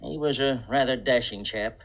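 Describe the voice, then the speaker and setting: An actor playing a timid, wistful older bachelor in a 1950s radio drama, hesitant and mild. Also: male voice, medium pitch, normal speed, a bit bright, lightly acted.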